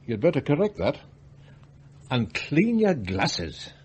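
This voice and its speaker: Slow, domineering old English magnate. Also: male voice, mid-range voice, huge pitch swings, a little mellow, slightly rough, exaggerated voice. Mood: commanding, secretive. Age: older. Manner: commanding, curt, deliberate. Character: domineering, blunt old business magnate, gruff patriarch. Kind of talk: radio drama acting.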